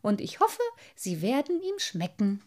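A woman's voice: mid-range voice, very animated, measured pace, a little mellow, slight background noise. A woman voicing a merry, self-satisfied little water sprite boy in a storybook, bold and playful. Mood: happy, insistent. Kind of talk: storybook character voice.

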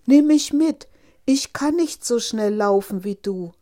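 A woman voicing a male talking frog from a storybook, deliberate and insistent as he bargains. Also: fairly low pitch, mellow. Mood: urgent calling.

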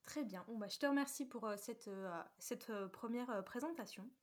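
A woman's voice: fairly high pitch, moderate pace. A woman hosting a podcast, chatting in a friendly interview style.

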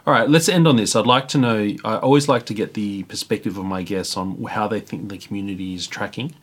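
A man hosting a conversational interview, asking curious questions and dropping in quick reactions. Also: fairly low pitch, husky.

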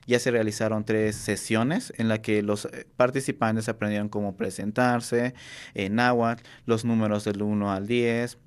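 A young man whose first language is Nahuatl, speaking in a low, steady, smooth voice with little rise and fall. He answers earnestly and pauses often, filling gaps and restarting phrases as he goes. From Mexico. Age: young adult. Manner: earnest, halting with many fillers and restarts. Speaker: Nahuatl workshop teacher and university student. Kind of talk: interview answers.

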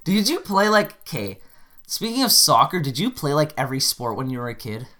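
A teenage boy trades teasing banter on a casual podcast. His voice is bright and lively and jumps all over in pitch. He talks in slang and keeps calling his friend "bro". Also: a little fast. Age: teens. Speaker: podcast co-host. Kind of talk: casual chat.

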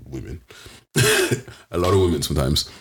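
A man in casual podcast chat, animated and putting on voices when he quotes others. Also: low-pitched, moderate pace, creaky, slight background noise.

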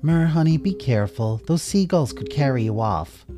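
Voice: mid-range voice, animated, normal speed, music in the background, pitched above the performer's own voice, lightly acted. A storyteller voicing a motherly female animal companion in a children's tale, worried and fussing.

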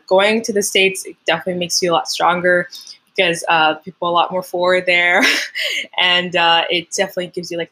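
A woman's voice: on the low side, fairly bright tone, rough recording. A young woman from Canada, a native French speaker, talks in a chatty, upbeat way as a guest in an interview, using many fillers. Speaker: Olympic track athlete, guest. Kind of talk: casual interview storytelling.

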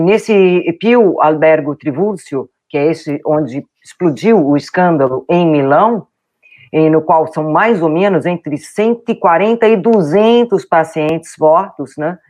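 Lively woman correspondent with Italian touches. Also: low-pitched. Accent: Brazilian Portuguese with Italian touches. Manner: laughing, lively, hesitant restarts. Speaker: foreign correspondent. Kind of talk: live remote report.

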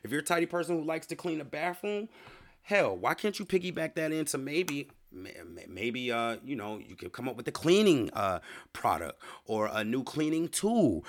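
A man's voice: on the high side, fairly bright tone. A man hosting his own podcast alone, talking loosely and conversationally, often checking in with "you feel me" and "man". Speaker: podcast host. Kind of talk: casual solo podcast talk.

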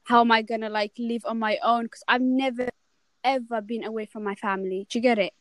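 A woman's voice: a little high, steady tone, a little fast, no echo. A young woman in casual chat, emphatic and talkative, often checking in with questions. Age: young adult. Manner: chatty and emphatic, asks lots of questions. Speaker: student co-host.

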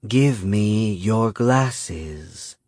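A cold, contemptuous female spirit acted out by a man, purring her threats at a very slow, drawn-out pace. The storybook villain sounds predatory and mocking, recorded close and dry. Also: lowish voice, lively intonation, a little mellow, dry recording, heavily acted. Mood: purring menace.

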